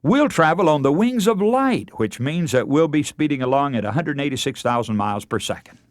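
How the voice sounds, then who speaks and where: A man preaches a radio sermon in an earnest, oratorical way. His pitch moves widely as he stresses key words with deliberate enunciation. The sound is dry and close, with very little room in it. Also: lively intonation, no echo. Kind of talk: radio sermon, oratorical lecture with scripture readings.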